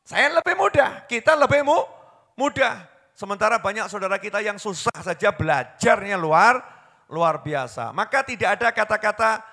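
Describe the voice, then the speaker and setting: A man preaching a sermon, swinging from hushed storytelling to loud impassioned pleading. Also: high-pitched, lively intonation, normal speed. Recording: long hall reverb.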